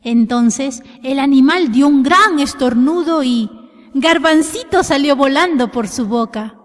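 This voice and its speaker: High-voiced woman telling a children's story. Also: a little slow. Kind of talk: expressive scripted storytelling for children. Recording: long hall reverb.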